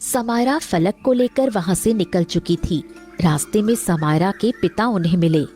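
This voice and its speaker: A woman's voice: medium pitch, moderate pace, mellow, faint music behind. A woman narrating a scripted cartoon story as its storyteller.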